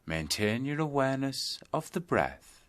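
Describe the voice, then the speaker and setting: A man leading a guided yoga meditation, giving deliberate instructions phrase by phrase with pauses between them. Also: mid-range voice, sing-song, a bit bright.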